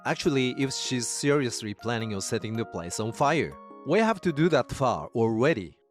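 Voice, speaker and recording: A man's voice: mid-range voice, animated, normal speed, faint music behind, lightly acted. A man playing an earnest, concerned young fiancé in a dramatized manga story. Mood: concerned, agreeing. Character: concerned young male fiancé, disbelieving but supportive.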